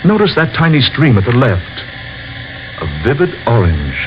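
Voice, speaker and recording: An actor playing a solemn male inventor who explains his discovery in a slow, lecturing way, in a 1940s radio drama. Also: mid-range voice, wildly swooping pitch. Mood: explaining. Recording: lo-fi old radio recording with faint hiss.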